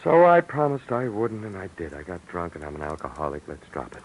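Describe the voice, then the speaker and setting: A hungover husband in an old radio play, quick to snap and quick to panic. His gravelly voice swings widely in pitch, with faint hiss under the old recording. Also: big pitch swings, rough recording. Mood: irritable. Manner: snappish, then rattled and panicky. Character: hungover young alcoholic husband, defensive and snappish. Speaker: radio drama actor. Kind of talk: 1940s radio acting.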